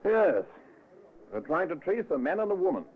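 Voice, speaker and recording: A brisk police investigator in a radio crime play. His voice is high and businesslike. The vintage sound is tinny, with faint noise. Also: sing-song. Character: brisk male police investigator questioning a witness. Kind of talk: old-time radio drama acting.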